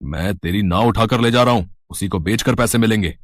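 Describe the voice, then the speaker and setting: A man's voice: on the low side, a little fast, dry recording, close to an everyday voice. A man voicing a stern, greedy village moneylender in a story, with a demanding, threatening manner.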